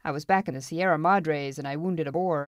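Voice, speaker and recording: A woman's voice: low-pitched, a little fast, dry recording, close to an everyday voice. A big, bold frontier gold hunter played by a woman narrator in a western audiobook. He talks in a folksy, boastful way and likes to taunt. The sound is dry and close. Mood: matter-of-fact.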